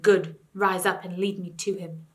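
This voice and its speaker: A woman's voice: on the low side, steady tone, moderate pace, lightly acted. A woman reading aloud, voicing a noble young king who speaks in a stern, courtly, old-fashioned way.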